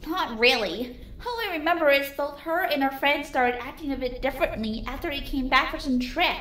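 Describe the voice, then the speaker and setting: A woman's voice: sits high, brisk, a bit bright. A woman voicing a young female pony in a fan fiction reading, recorded roomy and distant. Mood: hesitant, recalling. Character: young female pony recalling vague memories. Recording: roomy, distant, faint noise.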